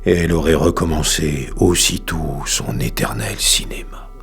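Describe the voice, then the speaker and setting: A man's voice: extremely low pitch, normal speed, gravelly, fairly bright tone, faint music behind, heavily acted. An actor playing a weary, exasperated father muttering his inner monologue in an audio drama. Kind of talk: audio fiction acting.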